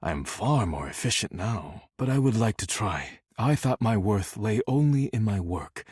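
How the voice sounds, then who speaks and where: A man voicing a serious, proud, formal dish character in a dating sim game, speaking in measured, precise and reserved phrases. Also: fairly low pitch, expressive, a little mellow, slightly rough, no echo, clean studio sound. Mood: sincere, grateful. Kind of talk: scripted character dialogue.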